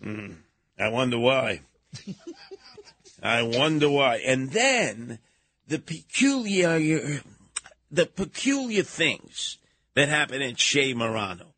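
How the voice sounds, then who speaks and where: A man's voice: medium pitch, big pitch swings, takes it slowly, pauses often, bright, forceful tone. A man hosting a radio talk show with theatrical, teasing banter. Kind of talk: casual radio banter, mocking commentary.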